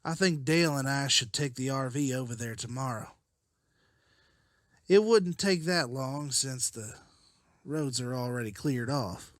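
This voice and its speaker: A man's voice: mid-range voice, a little slow, fairly bright tone, no room sound, clean studio sound, natural voice. A man playing an earnest, persuasive leader of apocalypse survivors in a dramatized comic. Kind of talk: dramatized comic-book voice acting.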